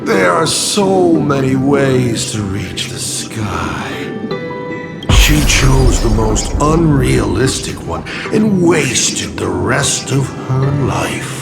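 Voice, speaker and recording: A man's voice: medium pitch, sing-song, extremely slow, vocal fry, heavily acted. An actor voicing a smug, sardonic villain in a video game, theatrical and mockingly urbane as he drawls out his taunts. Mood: disdainful. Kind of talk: dramatic video game voice acting. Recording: loud music under the voice. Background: loud background music.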